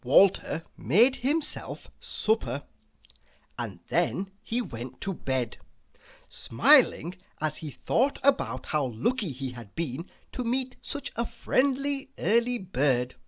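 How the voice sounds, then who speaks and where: Female storybook narrator, slightly low pitch, hugely varied intonation, deliberate and dramatic for young listeners. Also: sing-song. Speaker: storybook reader. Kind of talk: expressive children's read-aloud narration.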